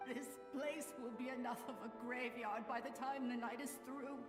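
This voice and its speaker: An actress playing the earnest ghost of a besieged soldier in a video game, grim and pleading through reverb. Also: female voice, a little high, steady tone, music in the background, natural voice. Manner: earnest, slow. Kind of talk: video game character acting. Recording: echoey long hall reverb.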